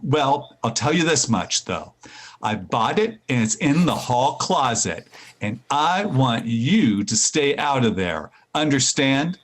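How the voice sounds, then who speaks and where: A man's voice: mid-range voice, big pitch swings, takes it slowly. A man playing a teasing, corny husband in a 1940s-style radio comedy, playful and hammy. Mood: playfully firm.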